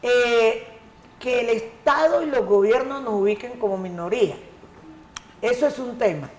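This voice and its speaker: A woman from the Colombian Pacific coast, an education coordinator answering interview questions in a measured, emphatic way. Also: fairly high pitch, darkish tone. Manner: measured, emphatic, repeats key words. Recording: echoey room, faint ambience.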